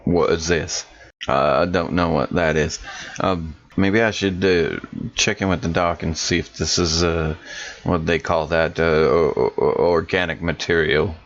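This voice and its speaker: A man's voice: deep voice, fairly even intonation, measured pace. A man playing a tabletop game who rambles through his character's actions with lots of ums and uhs, over a dull, muffled mic. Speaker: player.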